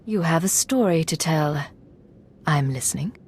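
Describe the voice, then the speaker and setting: A woman's voice: sits low, expressive, unhurried, husky, heavily acted. An actress playing a composed, determined female secret agent in a video game, questioning in a controlled, direct way. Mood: attentive. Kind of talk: dramatic video game acting.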